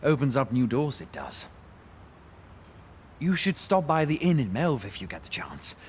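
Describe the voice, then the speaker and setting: A man's voice: mid-range voice, huge pitch swings, a little slow, a little hiss or hum. A man playing a kindly, modest innkeeper in a fantasy game, with folksy, hospitable, old-fashioned speech. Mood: friendly. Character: plain-spoken, kindly male fantasy innkeeper, modest and helpful. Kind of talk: scripted fantasy game dialogue, folksy archaic English.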